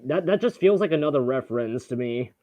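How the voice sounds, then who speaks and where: A man's voice: medium pitch, lively intonation, a little fast, dark tone, no room sound. A man chatting as a podcast guest, skeptical and questioning. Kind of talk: casual podcast chat.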